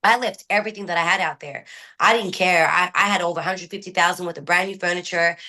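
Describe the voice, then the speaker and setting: A woman's voice: fairly low pitch, fairly even intonation, fast talker, sharp tone. A young woman from Australia with an Australian accent partly shaded by American speech, telling her story candidly as an interview guest. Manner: candid, rambling storytelling with restarts. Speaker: guest musician. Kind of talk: casual interview talk.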